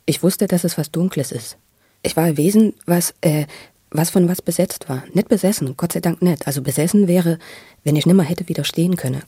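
A man, probably middle-aged, tells his own story in a husky voice, halting and repeating words with intensity. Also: on the high side, dark tone, dry recording, studio quality. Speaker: interviewee telling his life story. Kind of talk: spontaneous, emotional personal storytelling.

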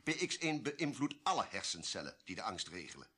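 Army colonel in a radio drama, polite n authoritative, lowish voice, lots of melody. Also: male voice, very animated, fairly quick, a bit bright, husky, heavily acted. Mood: explaining. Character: smooth-talking, authoritative army colonel from the ministry. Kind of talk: radio play acting.

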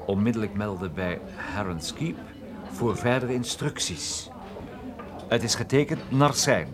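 A man acting as a cool private detective from a radio play. His voice sits on the low side, and his delivery is wry and deadpan, as if nothing could impress him. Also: a little slow, a crowd in the background, close to an everyday voice. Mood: matter-of-fact. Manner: dry, deadpan. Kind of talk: radio drama acting with first-person narration.

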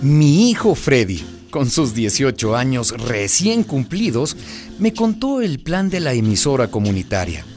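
A man's voice: mid-range voice, sing-song, a little slow, darkish tone, soft background music. A middle-aged man acting a folksy, wry neighbourhood father who narrates a radio drama. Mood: fond, confiding.

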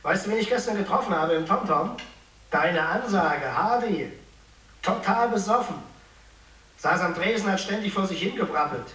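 A man's voice: high-pitched, animated, normal speed, pushed and bright. An actor playing an offhand, gossiping man in casual radio drama dialogue. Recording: roomy echo, distant from microphone.

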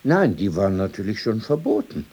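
Radio play: old ex-editor, mellow and rough-edged, wry, dismissive, opinionated storyteller. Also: male voice, on the low side, sing-song, a little fast. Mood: ironic reminiscing. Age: older. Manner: sardonic, digressive, dismissive. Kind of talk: radio drama dialogue.

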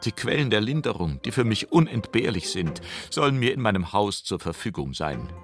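A self-important, sickly father from a classic stage comedy. He declaims his self-pity in a low voice that swings dramatically up and down, with faint music behind him. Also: sing-song, moderate pace. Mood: plaintive, demanding. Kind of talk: theatrical radio play reading.

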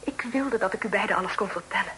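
A woman's voice: medium pitch, quick pace, sharp tone, natural voice. An actress playing an easily frightened, distressed young lady in a period radio drama, speaking formally and pleadingly. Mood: apologetic, yearning. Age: young adult. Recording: noisy old radio drama recording.

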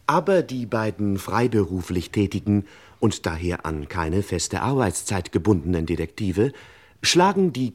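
An actor playing a wry narrator of an old radio crime serial, mock-solemn over long ornate sentences. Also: male voice, deep voice.

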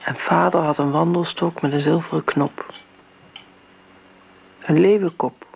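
An actress playing a devoted middle-aged daughter, earnest, coaxing and insistent in radio drama style. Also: female voice, low-pitched, fairly even intonation, unhurried, creaky, husky, heavily acted. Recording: tinny, noisy old radio recording.

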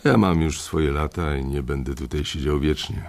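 An older man who runs a bank's trading floor in a radio play, domineering and blunt. His voice sits extremely low with a gravelly fry. He speaks in curt, commanding lines and slips into coarse insults. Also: normal speed. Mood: persuasive. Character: older male bank boss, blunt, domineering and crude. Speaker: head of a bank trading floor. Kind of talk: radio drama acting.

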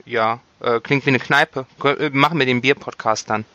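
A man's voice: medium pitch, fairly even intonation, moderate pace, pushed and bright, dry recording, lo-fi sound. A man co-hosting a podcast in casual banter, tossing in playful, ironic quips. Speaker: podcast co-host. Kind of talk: casual banter, quipping replies.

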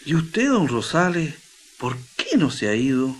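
An actor playing an earnest, sentimental young Chilean miner in a radio drama, speaking in a folksy, emotional way. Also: male voice, mid-range voice, sing-song, unhurried, slight background noise. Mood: resolved. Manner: folksy, emotional colloquial speech.

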